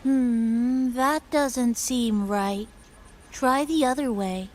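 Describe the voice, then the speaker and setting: A woman's voice: a little high, very slow, darkish tone, slight background noise. A woman playing a playful, knowing tinkerer and guide in a video game, chirpy and instructive. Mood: helpful, knowing.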